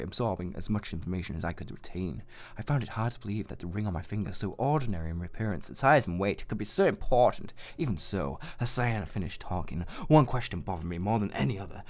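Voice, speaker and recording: A man co-hosting a gaming video, mixing casual commentary with read-out narration. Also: fairly low pitch.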